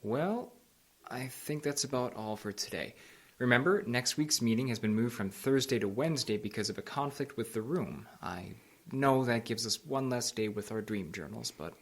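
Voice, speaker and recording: A man playing a concerned, fatherly support group facilitator who speaks gently and earnestly. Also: mid-range voice, expressive, moderate pace.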